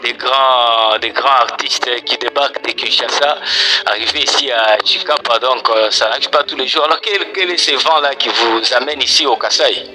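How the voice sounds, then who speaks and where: Congolese guy hosting a tv interview, mid-pitched voice, repeats himself for emphasis. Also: normal speed, poor audio. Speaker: television show host. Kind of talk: interview presenting.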